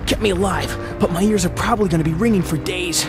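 A man's voice: high-pitched, quick pace. A young man playing an earnest teenage boy vigilante hero in an audio comic drama. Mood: wry, determined. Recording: dramatic music underneath.

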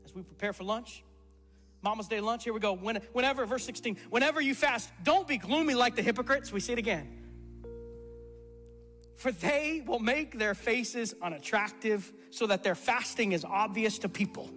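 A man's voice: high-pitched, normal speed. A middle-aged man preaching a sermon as pastor, emphatic and repeating words for stress. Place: church stage before a congregation. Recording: reverberant hall with soft music.

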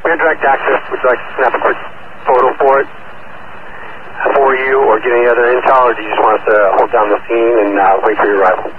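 A tinny, very noisy scanner radio carries an American man from a fire medic crew. He reports quickly in procedural language. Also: mid-range voice, fairly even intonation. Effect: two-way radio.

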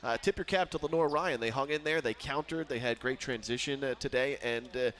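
A middle-aged man, a sports broadcaster, asking postgame questions on a headset mic at courtside, measured and full of uhs. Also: mid-range voice, fairly bright tone. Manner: measured, filler-heavy questions with many uhs. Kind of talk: postgame interview. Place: courtside in an indoor arena.

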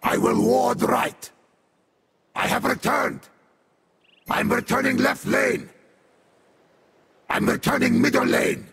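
A man playing a sadistic, vengeful infernal beast warrior in a video game, with a harsh demonic growl and theatrical, deliberate delivery. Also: extremely high pitch, very animated, creaky, a bit bright, slight background noise, full character voice. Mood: commanding, urgent. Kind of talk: video game character voice lines.

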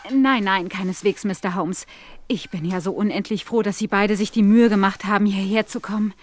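An actress playing a polite, anxious young governess in a German audio drama, speaking in an earnest, worried, formal manner. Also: female voice, mid-range voice, fairly quick, lightly acted. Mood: relieved, urgent. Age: young adult.

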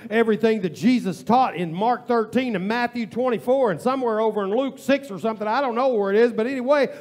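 A male pastor preaching in a church, with a high voice and a gravelly edge to it. His delivery is informal and repetitive. He swings from impassioned, near-shouted pleading to hushed prayer. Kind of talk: sermon. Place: church sanctuary.

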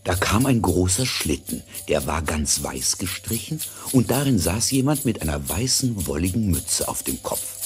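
A man narrating a scripted fairy tale in a dramatic, deliberate storytelling manner. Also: low-pitched, very animated, faint music behind.

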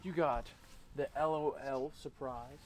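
A man's voice: mid-range voice, fairly even intonation, measured pace. A young American man chatting casually and jokingly to camera with playful, boastful slang. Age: young adult. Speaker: vlogger. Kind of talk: casual joking vlog chat. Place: mostly inside a large party store.

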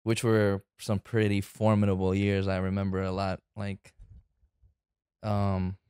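Low-voiced man, halting casual talk. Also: completely flat delivery, warm tone, clear, resonant tone, dry recording, studio quality. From San Francisco Bay Area. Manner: hesitant, many fillers and restarts. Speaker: guest actor. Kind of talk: casual interview chat. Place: living room with sofa. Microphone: studio mic on arm.

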